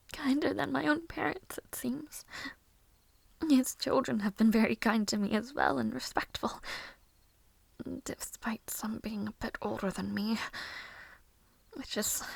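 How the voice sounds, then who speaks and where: A woman playing a weary, mistreated young maid in an audio roleplay, musing softly to herself in formal, breathy half-whispers. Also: high voice, no echo, exaggerated voice. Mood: quietly hopeful. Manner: slow, soft, formal old-fashioned phrasing, musing to herself.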